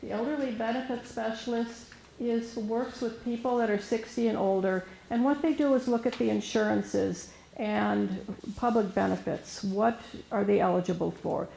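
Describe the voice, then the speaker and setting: A woman with a soft voice giving an informal presentation as a resource specialist, hesitant, with many fillers and restarts. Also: on the high side, normal speed, gravelly, slight background noise. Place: meeting room with an audience. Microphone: room microphone recorded for camera. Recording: roomy echo.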